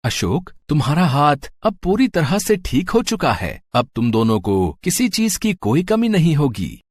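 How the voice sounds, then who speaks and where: A man voicing a gentle, kindly god in an animated story, with a deep, soft, measured delivery. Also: no echo. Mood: benevolent. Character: gentle divine boy-god, calm and kindly. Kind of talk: cartoon story voice acting.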